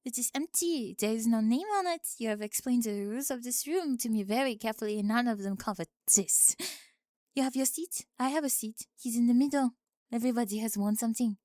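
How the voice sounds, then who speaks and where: Young French exchange student, played by a woman, French accent, higher register, forthright and wry, formal wording. Dry-recorded ASMR drama. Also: a little fast, warm tone, dry recording, close to an everyday voice. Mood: dryly exasperated. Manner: blunt, precise, formal phrasing. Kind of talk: ASMR roleplay audio drama.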